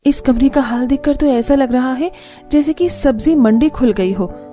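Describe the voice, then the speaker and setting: Young cartoon bride acted by a woman: earnest, dutiful, musing to herself, warm tone, music behind. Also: high voice, moderate pace, voice pushed up, lightly acted. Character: young new bride, dutiful, thinking aloud. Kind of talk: cartoon story character. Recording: music bed under the voice.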